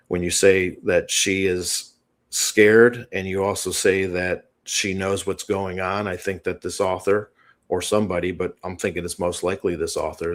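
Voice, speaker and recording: A man guest on an interview show, explaining a written analysis in a tentative, thinking-aloud way. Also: low-pitched.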